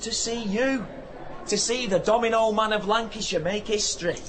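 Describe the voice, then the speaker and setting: An actor playing an excitable, chatty event coordinator with an eager, matey, showy manner in a radio drama. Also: male voice, high-pitched, normal speed, lightly acted. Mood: excited. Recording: echoey, distant, noisy crowd behind.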